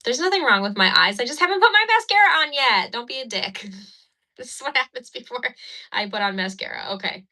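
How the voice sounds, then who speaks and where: Bright chatty woman doing makeup on stream. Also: on the high side, animated, fairly quick. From Ukraine. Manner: chatty, laughing, cursing, talks while doing makeup. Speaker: livestream host. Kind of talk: casual livestream chat. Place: indoors at a mirror. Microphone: phone.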